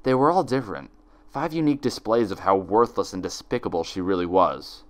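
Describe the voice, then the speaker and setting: A man narrating a story like a dramatic audiobook, in a theatrical, measured manner. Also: on the low side, animated.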